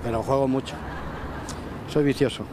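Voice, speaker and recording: An older man talking into a reporter's mic on a busy street. He is chatty and confiding, with a mid-pitched voice. Traffic noise runs clearly underneath. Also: fairly even intonation, normal speed. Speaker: interviewee. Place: busy city street outdoors. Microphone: reporter's handheld mic.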